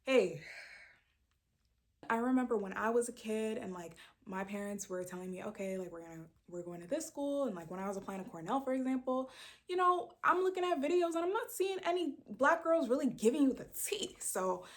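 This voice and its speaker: Chatty young woman with animated emphasis. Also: a little fast. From Brooklyn, New York. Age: 20s. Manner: chatty and animated, lots of fillers, sudden loud pitch jumps for emphasis. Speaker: vlogger introducing her channel. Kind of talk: casual direct-to-camera chat.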